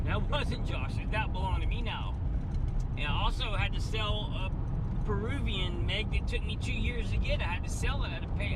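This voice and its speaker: A man speaking bluntly and candidly in a documentary interview, repeating his points for emphasis. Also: high-pitched. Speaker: fossil hunter. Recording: noisy, vehicle ambience.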